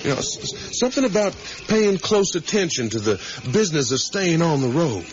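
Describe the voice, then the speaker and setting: An animated, musing middle-aged father. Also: on the high side, brisk. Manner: rambling, ruminative, emphatic. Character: musing, eager academic father on a motorcycle trip with his son. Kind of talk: 1980s radio drama acting, naturalistic. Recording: dull, noisy 1980s radio recording.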